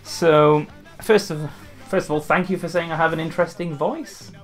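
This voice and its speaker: A man's voice: on the high side, fairly even intonation, moderate pace, soft background music. A young man from Wales with a light Welsh accent, chatting casually to camera as a gaming YouTuber answering questions, rambling with restarts and fillers.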